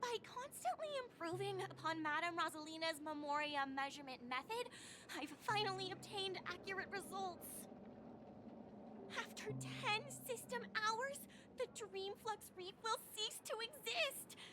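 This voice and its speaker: A woman's voice: very high-pitched, animated, a little slow, background noise. A woman voicing an eccentric, obsessive young scientist in a video game, explaining things earnestly and dramatically. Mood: urgent, intense. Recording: background music.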